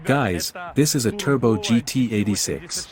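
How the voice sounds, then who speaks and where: A man's voice: mid-range voice, lively intonation, unhurried, a crowd in the background. A man from Ukraine hosting a car show, walking around the cars outdoors and questioning the owner. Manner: guiding, asks questions, addresses viewers as guys. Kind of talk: car review walkaround.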